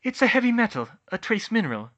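A man's voice: sits high, sing-song, quick pace, pushed and bright, voice pushed up, full character voice. A man voicing a brainy young witch for a story, earnest and polite as she explains herself. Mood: nervous, earnest. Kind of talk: audiobook character voice.

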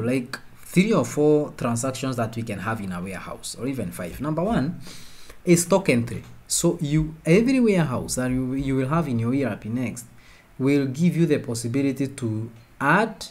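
Male tutorial presenter, mid-pitched voice, informal and explanatory, feels his way through each point. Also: a little mellow. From Kenya. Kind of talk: informal explanatory screen-share lesson.

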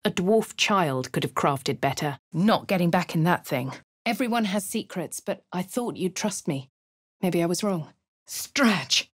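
A woman with a posh English accent voicing a refined young adventurer, crisp and composed in game voice lines. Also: lowish voice, expressive, measured pace, no room sound, clean studio sound. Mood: wry. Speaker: voice of a player character. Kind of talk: game voice lines, first-person narration.

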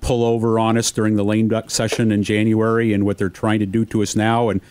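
Lower-voiced male co-host, upbeat. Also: flat delivery, a little fast, a little mellow, smooth. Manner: upbeat, rallying, playful banter. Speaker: radio co-host. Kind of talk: casual radio banter. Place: radio studio. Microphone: studio microphone.

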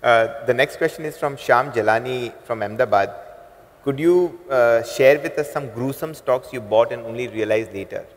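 A man's voice: medium pitch, normal speed. Male moderator in a reverberant hall. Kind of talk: reading audience questions. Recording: reverberant hall, lapel mic.

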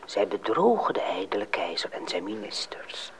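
A man narrating a fairy tale as a dramatic storyteller, with a husky voice. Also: lowish voice, very animated, normal speed, whispered, low-quality recording.

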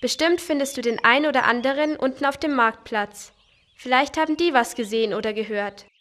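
A woman's voice: sits high, a little fast. A woman voicing Lolli, a cheerful and friendly young girl in a children's game, speaking eagerly and sweetly. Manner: bright, eager, sweetly pleading.

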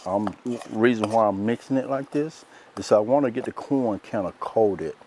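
A soft-spoken middle-aged man, demonstrating a recipe outdoors as a cooking show host in a relaxed, folksy way. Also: lowish voice, normal speed, slight background noise. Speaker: chef host. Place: outdoors by a lake in woods.

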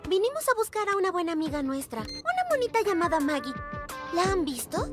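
A plucky young girl from a children's cartoon. The voice is extremely high and lively, eager and expressive, like a born leader. Prominent music plays behind it. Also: fairly quick. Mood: friendly, purposeful. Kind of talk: animated children's cartoon dubbing.

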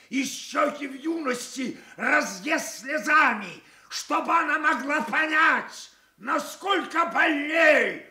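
A wounded, wrathful old king in a radio tragedy. His voice sits fairly high and he declaims slowly, moving between hushed grief and thunder, with clear room echo around him. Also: full character voice. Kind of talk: classical stage tragedy acting in a radio play. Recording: echoey Soviet radio theatre recording.

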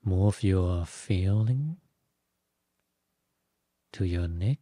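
A man leading a guided meditation as a teacher, giving gentle instructions in short phrases with long pauses. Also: sits low, lively intonation, no room sound. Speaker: Buddhist monk, meditation teacher.

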